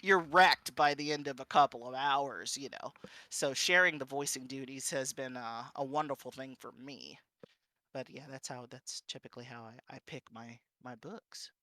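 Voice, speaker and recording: A man giving an informal panel talk and answering questions in a conversational, halting, self-correcting way. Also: medium pitch, bright, forceful tone, no room sound. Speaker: panel speaker and streamer.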